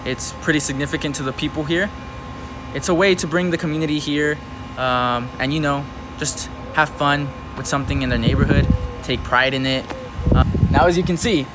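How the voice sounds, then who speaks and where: A man playing an earnest, halting local news reporter in an amateur mock report. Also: medium pitch, lightly acted. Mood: upbeat. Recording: noisy amateur recording, loud background hum.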